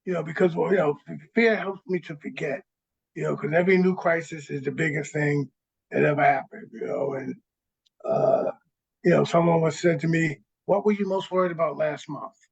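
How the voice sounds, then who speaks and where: A man, a guest on a radio show, speaking thoughtfully and haltingly with many restarts. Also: a little high, vocal fry, slightly rough. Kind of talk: reflective conversation. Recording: dull lo-fi line.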